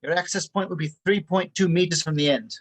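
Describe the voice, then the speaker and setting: A man's voice: sits high, brisk. A man reading a businesslike spy handler. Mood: briefing. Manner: briefing, businesslike. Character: precise male tech handler giving a briefing. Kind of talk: table read.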